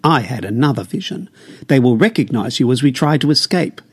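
A man's voice: mid-range voice, animated, brisk, husky, no echo. A man reading an audiobook, voicing a frightened teenage boy who pleads with his mother. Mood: tense. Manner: fearful, pleading.

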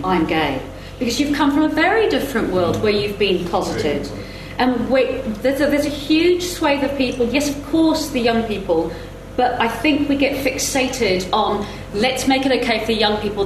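A woman panellist speaking emphatically in a live discussion, repeating phrases for stress. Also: mid-range voice. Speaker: festival panellist. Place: indoor live event venue. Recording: echoey room, clear background noise.

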